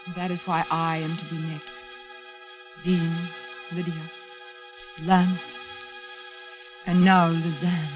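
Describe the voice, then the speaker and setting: A woman's voice: lowish voice, little pitch movement, takes it slowly, pauses often. An actress playing a frightened, framed young woman in a 1940s radio mystery. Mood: grave, despairing. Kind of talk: 1940s radio drama acting. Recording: muffled, band-limited old radio recording with music.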